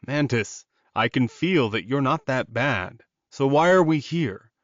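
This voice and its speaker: A weary but defiant male werewolf captive, voiced by a man in an audiobook, grumbles and pleads. His voice sits at a medium pitch in a very dry recording. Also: expressive, measured pace, dry recording, highs cut off, lightly acted. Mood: earnest, pleading.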